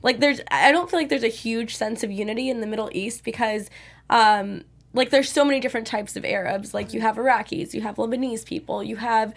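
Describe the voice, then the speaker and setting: Creaky-voiced woman co-host chatting. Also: on the high side, a little fast. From United States. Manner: chatty, many likes and I means. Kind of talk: casual TV recap chat.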